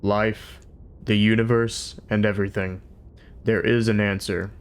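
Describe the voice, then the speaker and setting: A man voicing a grandiose, ponderous male supercomputer that speaks solemnly and deliberately. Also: fairly low pitch, little pitch movement, smooth. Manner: solemn, measured, pronouncing.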